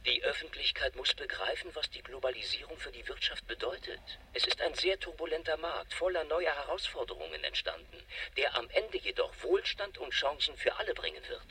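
A man's voice: medium pitch, pushed and bright. An actor playing a cold, pompous company spokesman reading a curt, rehearsed press statement on the radio in an audio drama. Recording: distant radio broadcast with faint noise.

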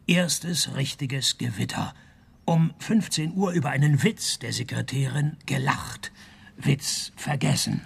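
An actor playing a world-weary, wisecracking private detective in a radio play, dry and deadpan, with a husky, gravelly voice. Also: male voice, mid-range voice, measured pace, full character voice. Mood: deadpan reading. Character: sardonic, world-weary male private detective, middle-aged, broke. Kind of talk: first-person radio play narration and dialogue.

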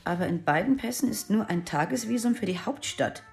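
Determined fugitive, medium pitch, serious, sceptical, radio drama, faint music behind. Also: female voice, animated, a little fast, vocal fry, darkish tone. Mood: sceptical, pressing.

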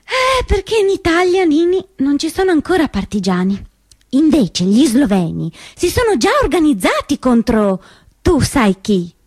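A woman's voice: high-pitched, very animated, a little slow, a little mellow, dry recording, clean studio sound. A woman on stage playing a commanding partisan organiser who rouses young women, emphatic and conspiratorial. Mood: firm, instructing. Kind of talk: theatrical stage monologue.

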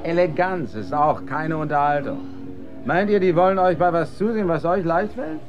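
A man's voice: fairly high pitch, animated, normal speed. A man acting in a German radio drama as a brash, cynical dance marathon emcee, haranguing callously like a showman. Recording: band-limited, dull sound under music. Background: clear music.

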